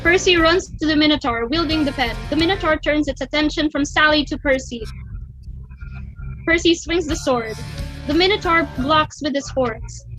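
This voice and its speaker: A woman's voice: high-pitched, moderate pace, a bit bright, faint music behind, rough recording. A woman reading stage directions as a narrator, in scripted dramatic narration.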